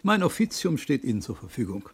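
An actor playing a genteel older small-town pharmacist in a 1960s radio play, formal and deferential, with a gravelly, husky voice. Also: male voice, medium pitch, big pitch swings, a little fast. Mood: courteous.

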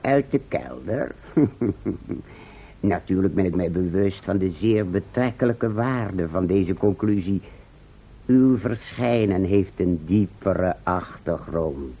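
An actor playing a verbose, self-satisfied town scholar in a radio play, speaking in pompous, formal, elaborate phrases. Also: male voice, deep voice, lively intonation, slow pace, husky, heavily acted. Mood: pedantic, affable. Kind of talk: Dutch radio play acting. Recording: muffled vintage radio play recording.

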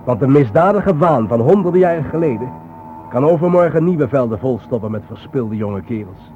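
An actor playing a solemn, reflective journalist in a radio play, speaking in grave, deliberate, philosophical phrases. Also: male voice, medium pitch, expressive, dark tone, faint music behind, natural voice. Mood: grave, urgent. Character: solemn, reflective male journalist haunted by war dead. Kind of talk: radio drama acting. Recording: thin phone-like old radio recording, faint noise.

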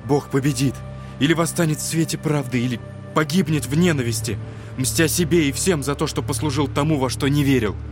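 An actor playing a gentle, devout and anxious younger brother, speaking earnestly and soothingly in an audio drama. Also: male voice, a little high, fairly quick, music in the background, close to an everyday voice. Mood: solemn, prayerful.

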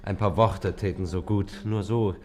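An actor in a German radio drama playing a lonely, uneasy bar customer, polite and halting. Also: male voice, low-pitched, fairly even intonation, a crowd in the background. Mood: lonely, hesitant.

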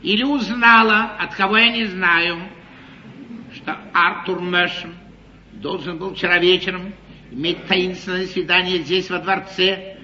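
An actor playing a shrewd, courtly English lord in a theatrical stage comedy, speaking with emphatic, insinuating delivery. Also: male voice, high-pitched, fairly even intonation, unhurried, bright, forceful tone, roomy sound, heavily acted. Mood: smooth, insinuating. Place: theatre stage, before an audience. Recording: dull 1950s live theatre recording, audience noise.

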